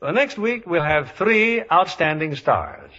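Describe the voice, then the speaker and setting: Bright male radio host in echoing hall. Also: a little high, very animated, a little slow. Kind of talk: scripted 1950s radio hosting. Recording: muffled old radio in reverberant hall.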